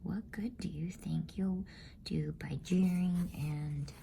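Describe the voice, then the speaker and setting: A woman's voice: on the low side, fairly even intonation, slow pace, gravelly, dark tone, slight background noise. A woman voicing a stern, protective older brother from a storybook, scolding in a firm, reproachful whisper.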